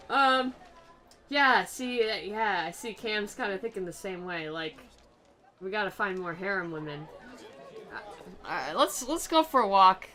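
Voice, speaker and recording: A woman's voice: mid-range voice, sharp tone. A woman giving sarcastic, theatrical commentary as she plays and reads aloud from a video game, in a mocking, giggle-prone way. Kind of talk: sarcastic playthrough commentary with dramatic reading.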